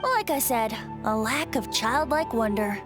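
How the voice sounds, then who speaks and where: A woman's voice: a little high, expressive, measured pace. A woman voicing a playful, whimsical young male bard in game dialogue, light and teasing. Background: game music.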